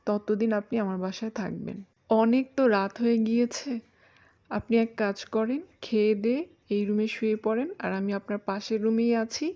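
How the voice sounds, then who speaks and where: A woman plays a kindly, trusting cartoon doctor with courteous, earnest speech. The voice sits fairly high in a slightly dull recording. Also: steady tone, normal speed, dark tone, natural voice. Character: kindly, polite town doctor, helpful and credulous. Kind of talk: cartoon voice acting.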